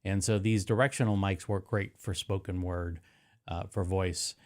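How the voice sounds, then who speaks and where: A man's voice: deep voice, steady tone, moderate pace, darkish tone. A man with a nasal voice demonstrating live streaming audio gear in his studio, talking casually into a close dynamic microphone with many fillers and restarts. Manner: rambling, self-correcting, with frequent fillers. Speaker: live streaming tech host. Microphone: close dynamic broadcast microphone.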